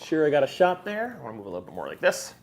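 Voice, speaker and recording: A man at a tabletop wargame, talking through his moves in a casual live chat. His voice rises and falls in an animated way, and he often trips and restarts mid-sentence as he plans. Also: on the high side, racing. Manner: thinks aloud while moving models, restarts often. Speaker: wargame player.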